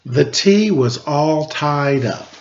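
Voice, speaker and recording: Animated, slow-paced man reading a storybook. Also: fairly high pitch. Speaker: storyteller reading a picture book aloud. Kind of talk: read-aloud storytime narration. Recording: dull lo-fi audio, faint background noise.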